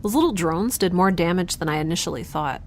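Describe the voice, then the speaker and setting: A woman's voice: on the low side, lively intonation, a little hiss or hum, natural voice. A woman playing a frazzled, wry young scientist in space, rambling and correcting herself as she talks in an audio drama. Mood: awkward, uneasy. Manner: halting, rambling, self-correcting. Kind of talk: audio drama, conversational log-diary monologue and dialogue.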